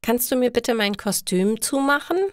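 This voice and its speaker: An eager young storybook girl, voiced by a woman reader. She speaks in careful, childlike phrases, lifted higher and kept dry and close. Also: normal speed, dry recording, lightly acted. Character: eager young girl at carnival with her mother.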